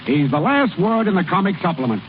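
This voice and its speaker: High-pitched bossy 1930s team manager. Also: male voice, very animated. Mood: jovial, scheming. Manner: bossy, slangy, brisk. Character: gruff, scheming baseball team manager, a man. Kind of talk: 1930s radio comedy acting. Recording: muffled, noisy old radio broadcast.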